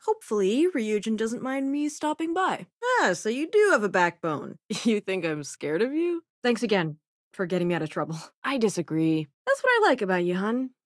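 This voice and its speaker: A woman's voice: mid-range voice, sing-song, normal speed, dry recording, clean studio sound, natural voice. A woman playing a sassy, self-assured young scientist and smuggler companion in a space video game, with a wry, casual manner. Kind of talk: acted video game dialogue lines.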